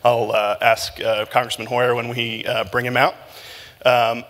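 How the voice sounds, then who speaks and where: A man moderating a live breakfast event, talking loosely with many filler pauses as he asks his guests questions. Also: mid-range voice, little pitch movement, a bit bright, in a large hall. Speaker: moderator and journalist. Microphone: handheld mic.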